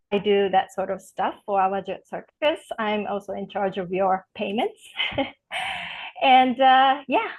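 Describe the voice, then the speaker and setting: A woman on the staff introducing her finance and translation work, halting and full of fillers. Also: mid-range voice. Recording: tinny phone-like call audio.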